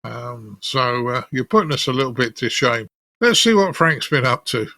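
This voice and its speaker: A man's voice: medium pitch, expressive, moderate pace, creaky, sharp tone, no room sound. A man chatting casually as the host of a podcast. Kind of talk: casual chat.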